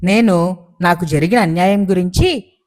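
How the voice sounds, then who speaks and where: An actor playing a suspicious, indignant village baker in a moral story, quick to accuse others. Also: male voice, high voice. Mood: aggrieved. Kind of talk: acted dialogue in a moral story.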